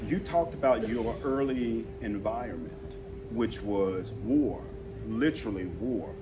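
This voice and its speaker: A man's voice: fairly low pitch, expressive, measured pace, background noise. A man working as a communication coach, a guest in an interview who speaks emphatically and gives frequent affirmations.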